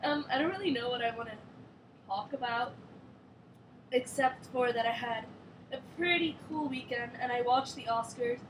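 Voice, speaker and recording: High-voiced young woman vlogging. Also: moderate pace, background noise. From Switzerland. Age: young adult. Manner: rambling, filler-heavy, strained while doing a headstand. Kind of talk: casual chat.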